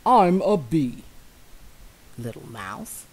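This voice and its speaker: A kindly, unhurried bumblebee from a picture book, as a woman reads him, low and gentle. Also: big pitch swings, a little hiss or hum, heavily acted. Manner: slow, gentle, matter-of-fact. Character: calm, kindly male bumblebee who explains things.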